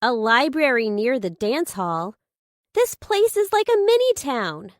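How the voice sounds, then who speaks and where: Woman voicing an excitable, nosy friend, pitched up. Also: a little slow, dry recording, clean studio sound. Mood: amazed, prying. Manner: emphatic, exclaiming, eagerly questioning. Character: nosy, excitable female friend, pushy and dramatic. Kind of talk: audiobook character dialogue.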